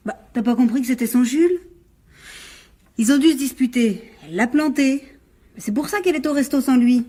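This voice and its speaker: A young woman in a radio play, with a fairly high voice. She talks in brisk slang, mocking and impatient, as if brushing off every worry. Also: animated, dark tone, breathy edge. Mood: teasing, dismissive. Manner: slangy, teasing, exasperated. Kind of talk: radio drama acting.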